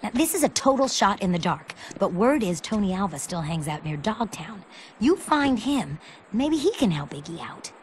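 A casual, wry young woman from a video game, played by an actress. She sounds streetwise and helpful, with very lively intonation. Faint noise sits behind her. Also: medium pitch, moderate pace, natural voice. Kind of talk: video game cutscene acting.

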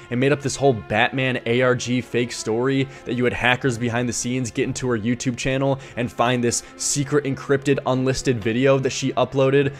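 Casting: male commentary narrator, sarcastic edge, faint music bed. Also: mid-range voice. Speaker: video narrator.

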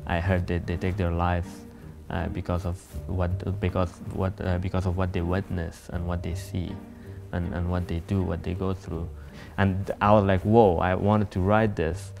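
A young man from Afghanistan talks earnestly in a sit-down interview, stumbling and restarting as he searches for words. His voice is low and flat. Soft music plays faintly behind him. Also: creaky. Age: young adult. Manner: earnest, halting, many restarts. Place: empty concert hall stage.

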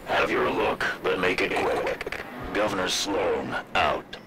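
A man's voice: sits low, lively intonation, slow pace. An actor playing a proud, failing male AI governor of a colony, commanding and formal in manner. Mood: curt. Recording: echoey with loud music behind.

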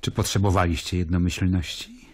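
An actor playing a stern, sceptical Roman governor in an audio drama, measured and ironic as he questions and narrates. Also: sits low, level tone, no room sound. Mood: stern, probing. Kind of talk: literary audio drama acting.

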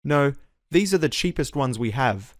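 A man reading short English reply lines slowly and clearly, repeating each phrase for learners. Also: mid-range voice, lively intonation, no echo, studio quality. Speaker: dialogue reader for English learners. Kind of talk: slow scripted phrase repetition.

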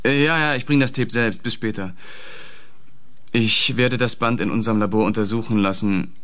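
Actor as a low-voiced, formal police official. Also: male voice, fairly bright tone, no echo, close to an everyday voice. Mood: businesslike, serious. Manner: formal, measured, matter-of-fact. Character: formal, sober male federal police official briefing his minister. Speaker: actor in a radio play. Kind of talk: German radio drama dialogue.

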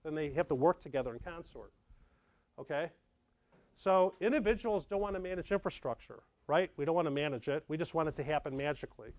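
A man's voice: on the high side, fairly quick, dry recording. A middle-aged man giving a conversational tech talk at a meetup, acting out small scenes for his audience. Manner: lively, conversational, acts out examples. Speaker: tech presenter. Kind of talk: meetup slide presentation. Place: dark meeting room with projector.

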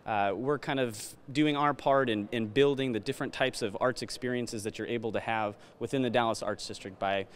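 A man's voice: mid-range voice, steady tone, a little fast, few pauses, a little hiss or hum. A man from the arts center staff explaining the building during a guided tour, with frequent fillers as he talks in a large glass-walled lobby. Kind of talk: informative guided tour talk.

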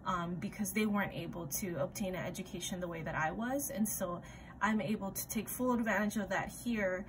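A woman's voice: mid-range voice, brisk. A young woman from Ecuador in her twenties, an admissions recruitment coordinator, giving a friendly, explanatory self-introduction on a webcam. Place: home room with ivy wall. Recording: video call with audible background noise.

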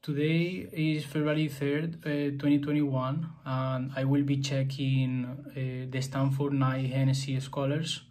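A young man from Spain speaks casually to his camera in a small indoor room. His delivery is flat and even, at an ordinary middle pitch, and he hesitates often, restarting phrases and filling gaps. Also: unhurried, few pauses. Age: 20s. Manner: hesitant, many fillers and restarts. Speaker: vlogger.

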